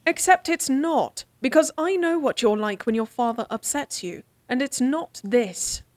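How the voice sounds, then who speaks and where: A woman playing a candid, devoted lady-in-waiting in a fantasy audio drama, speaking formally with a gently teasing, coaxing manner. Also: sits high, expressive, unhurried, no echo. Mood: earnest, pleading.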